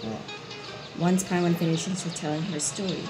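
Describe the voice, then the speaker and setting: A woman hosting a gaming channel, giving casual playthrough commentary with a halting, stumbling delivery. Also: lowish voice, fairly even intonation, loud music behind the voice.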